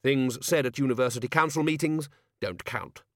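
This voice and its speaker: A man's voice: mid-range voice, moderate pace, no echo, clean studio sound, pitched above the performer's own voice, close to an everyday voice. A man voicing a pompous, hearty older male wizard who runs a college, in an audiobook. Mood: self-assured. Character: blustering, self-assured older male wizard, head of college. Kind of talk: audiobook character acting.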